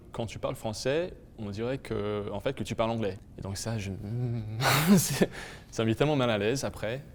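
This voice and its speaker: A man giving a measured personal testimony, recounting a memory. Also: on the low side, slight background noise. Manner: measured, storytelling. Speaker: interviewee. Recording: echoey room with faint music.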